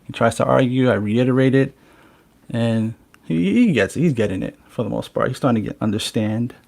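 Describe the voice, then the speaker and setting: A man's voice: medium pitch, expressive, a little fast, vocal fry, darkish tone. A man hosting a casual podcast, talking bluntly and swearing freely. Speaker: podcast host.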